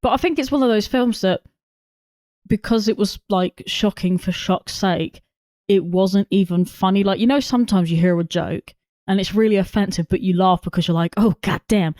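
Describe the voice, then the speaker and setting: A woman's voice: medium pitch, moderate pace, darkish tone, dry recording. Blunt British woman, dry close sound. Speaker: podcast co-host. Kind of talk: casual film discussion.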